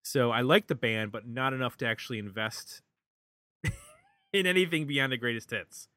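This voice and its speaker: An American man hosting a casual music podcast, talking in a halting, wordy way. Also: medium pitch, bright, forceful tone, no room sound. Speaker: podcast host.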